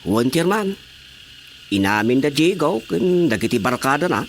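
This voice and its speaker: A man's voice: medium pitch, big pitch swings, normal speed, a little hiss or hum. An actor playing an eager village watchman reporting in an Ilocano radio drama comedy, with an Ilocano accent.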